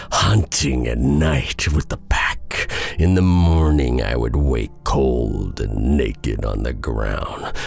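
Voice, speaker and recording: A man playing a doomed, remorseful werewolf in a storybook tale, confessing in a halting, haunted and bitter way. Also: very deep voice, gravelly, a little mellow, heavily acted. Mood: bitter anguish.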